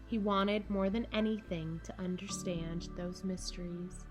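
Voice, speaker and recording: A woman narrating a children's picture book in measured, deliberate phrases with dramatic pauses. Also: fairly low pitch, steady tone, music in the background. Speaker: storybook reader for children.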